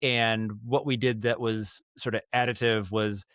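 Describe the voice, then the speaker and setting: A man with a smooth, clear voice in a dry, close recording. He talks casually as an interview guest, working out his ideas as he goes and often doubling back mid-sentence. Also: fairly low pitch, fairly even intonation, a bit bright, no echo. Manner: halting, thinking aloud, circling back on thoughts. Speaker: podcast guest, writer and director of audio dramas.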